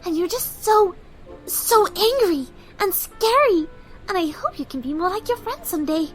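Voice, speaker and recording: A woman voicing a shy, gentle cartoon pony mare who speaks for animals, soft, polite and hesitant. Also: very high-pitched, sing-song, moderate pace, soft background music, rough recording. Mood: hurt, scolding. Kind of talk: cartoon fan audio drama acting.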